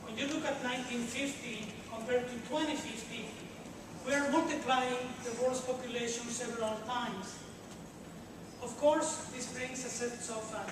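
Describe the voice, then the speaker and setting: A man in his fifties from Mexico, with a Mexican Spanish accent, giving a measured, earnest conference presentation with frequent pauses. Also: very high voice. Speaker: conference panelist, HR executive. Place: hotel meeting room with a seated audience. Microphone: room mic from a far camera, standing and unmiked. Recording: echoey hall from far off, noisy.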